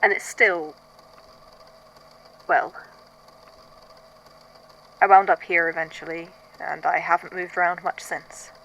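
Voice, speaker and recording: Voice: medium pitch, fairly even intonation, takes it slowly, creaky, long pauses, sharp tone, slight background noise, exaggerated voice, rough recording. A performer playing a weary, haunted archivist, speaking in a hesitant, introspective, conversational way in an audio drama monologue. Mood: haunted, reflective. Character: weary, haunted archivist recording statements of uncanny events. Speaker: voice actor in a fan fiction audio drama.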